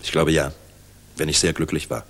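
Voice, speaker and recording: An actor playing a world-weary, middle-aged general in a 1960s German radio play, speaking in a measured, solemn, reflective manner. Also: male voice, a bass voice, completely flat delivery, fairly bright tone, full character voice. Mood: confessional.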